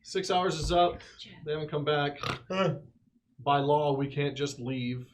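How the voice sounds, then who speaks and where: A man's voice: on the high side, a little slow. A man playing a tabletop roleplaying game, bantering casually in character.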